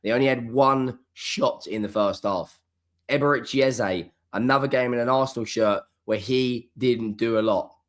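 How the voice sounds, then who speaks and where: A hoarse man hosts a solo football reaction, talking emphatically and often repeating key words for stress. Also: mid-range voice, steady tone, moderate pace. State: hoarse, coming down with flu. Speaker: football channel host. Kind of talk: solo post-match reaction.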